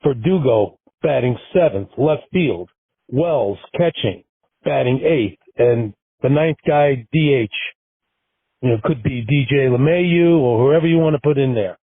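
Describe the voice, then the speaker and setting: A man leaving a voicemail for a show, reading out a list loudly and at a plodding pace. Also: medium pitch, a little slow, husky, no room sound. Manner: listing items, loud and plodding. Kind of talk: voicemail message. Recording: tinny phone-line voicemail.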